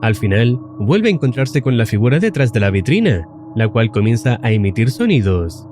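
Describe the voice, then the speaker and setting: A man's voice: medium pitch, very animated, normal speed, darkish tone, slight background noise. A man narrating a scripted, suspenseful recap of a horror series as an explainer video host. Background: faint music.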